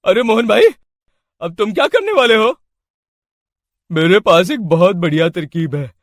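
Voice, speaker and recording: A man voicing an excitable, scheming villager with an emphatic manner in a cartoon story. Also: sits high, unhurried, dry recording, clean studio sound. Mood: eager.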